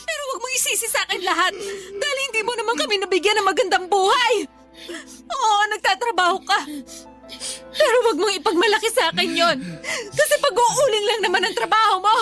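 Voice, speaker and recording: A woman's voice: very high-pitched, a little fast, fairly bright tone. A woman playing a defensive, hysterical mother in a Tagalog radio drama, tearful and combative. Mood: bitter, combative. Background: dramatic music.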